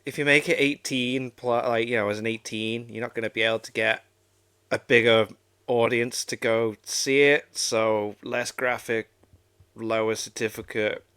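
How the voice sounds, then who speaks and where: A man's voice: mid-range voice, level tone, normal speed, a bit bright, smooth. A man hosting a reaction video with casual, off-the-cuff commentary.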